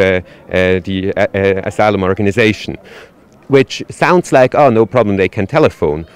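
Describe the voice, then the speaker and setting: A man's voice: fairly low pitch, clean tone. A man answering questions at a street protest, arguing his points firmly with frequent restarts. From Switzerland. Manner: articulate, argumentative, with frequent "uh" restarts. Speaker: demonstrator interviewed at a protest.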